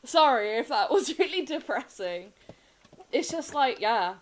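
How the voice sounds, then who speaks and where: A woman streaming a game, sniffly, chatting candidly and rambling with frequent soft laughs. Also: high voice, animated, measured pace. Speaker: gaming streamer. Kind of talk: casual chat while gaming.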